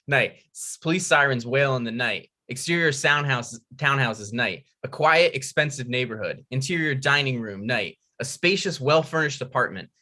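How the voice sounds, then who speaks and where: A man's voice: mid-range voice, sharp tone. A man reading out scripted stage directions as narrator at a comedy table read.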